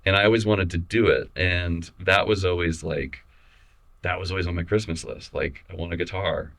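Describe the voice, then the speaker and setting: A young adult man from Missouri, a photographer talking casually in an interview, relaxed and halting with many restarts and fillers. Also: low-pitched, gravelly. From Missouri, USA. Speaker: photographer guest.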